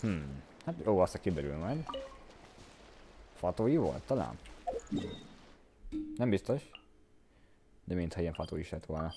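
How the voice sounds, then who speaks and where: Low-voiced Hungarian man streaming a game. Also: very animated, normal speed, long pauses, noisy background. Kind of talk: casual playthrough commentary. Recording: game music behind the voice. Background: game music.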